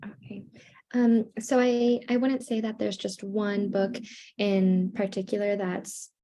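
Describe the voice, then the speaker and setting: A woman with a gravelly, creaky voice renders an author's answer in English over a video call. Her tone is dark and mellow, and her delivery is steady. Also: medium pitch, slow pace. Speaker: interpreter.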